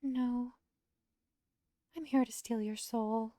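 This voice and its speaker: A streamer putting on a gentle young woman from a visual novel, pitched high and politely formal. She sounds soft-hearted and earnest, in a close, dry recording. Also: fairly even intonation, slow pace, no echo.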